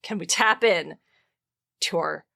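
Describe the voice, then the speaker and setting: Bright, animated woman chatting casually. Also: lowish voice, takes it slowly, gravelly. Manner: animated, rambling with slangy asides. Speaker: podcast host. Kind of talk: casual comedic chat.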